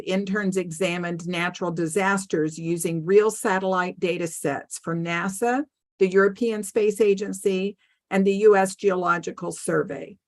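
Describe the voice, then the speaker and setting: A woman moderating a student science symposium, reading team introductions in a measured, formal way. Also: mid-range voice.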